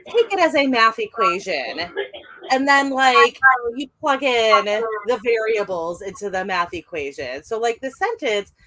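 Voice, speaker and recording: A woman's voice: a little high, fairly bright tone, rough recording. A woman chatting casually on a podcast, with lots of "like" fillers. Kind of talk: casual chat.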